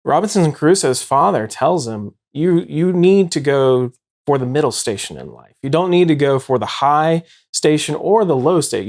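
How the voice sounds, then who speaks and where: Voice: medium pitch, darkish tone. Explanatory host discussing books. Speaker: show host. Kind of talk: casual book discussion.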